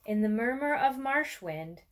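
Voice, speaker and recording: Slow-paced woman reading a picture book. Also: fairly high pitch, lively intonation. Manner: measured, deliberate phrasing with pauses. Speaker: museum educator reading aloud. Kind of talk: expressive children's picture-book read-aloud. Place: small furnished room with armchair and bookshelf, indoors.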